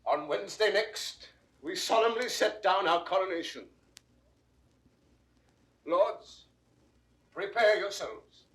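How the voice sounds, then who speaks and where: A man's voice: high-pitched, slow pace, long pauses, a bit bright. An actor playing a commanding, terse usurper king with curt authority, in a 1960s Shakespearean audio drama. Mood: loud authority. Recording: roomy, echoing vintage studio recording.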